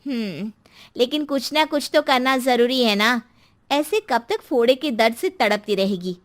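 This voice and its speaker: A woman's voice: fairly high pitch, lively intonation, a little slow, pitched above the performer's own voice. A woman voicing a concerned, practical female neighbour in a cartoon story, urging and advising.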